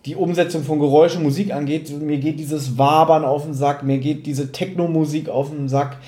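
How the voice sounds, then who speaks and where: A man's voice: a little high, steady tone, normal speed, dark tone. Blunt man in a roomy space. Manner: opinionated, blunt, colloquial swearing. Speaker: podcast host. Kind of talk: casual review chat.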